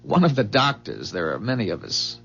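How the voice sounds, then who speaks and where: An actor playing a calm, earnest man from a dying future, explaining gravely in measured 1970s radio drama style. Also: lowish voice, faint music behind, full character voice. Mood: solemn, earnest. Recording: dull, muffled 1970s radio drama recording.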